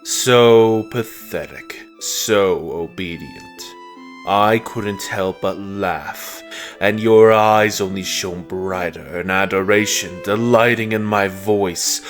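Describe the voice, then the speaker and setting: A man's voice: on the low side, slow pace. A man voicing a bitter, imperious fallen god in a comic dub, speaking in a brooding, contemptuous and menacing monologue. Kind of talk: dramatic comic dub narration. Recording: music under the voice.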